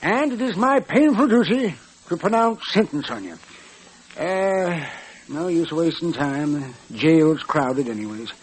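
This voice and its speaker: An actor playing a doddering, casually callous frontier judge, hemming and husky, in an old radio western. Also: male voice, on the high side, animated. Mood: pompous, offhand. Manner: hemming, drawn-out.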